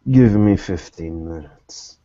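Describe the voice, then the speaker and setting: A man playing a guarded, suspicious man on a phone call in a tabletop roleplay, speaking in terse, deliberate demands. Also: deep voice, mellow. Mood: guarded, demanding. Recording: dull lo-fi audio.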